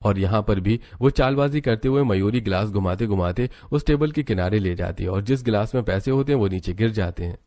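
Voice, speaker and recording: A man's voice: lowish voice, fairly quick, few pauses, mellow, dry recording. A man narrating a scripted moral story as its storyteller.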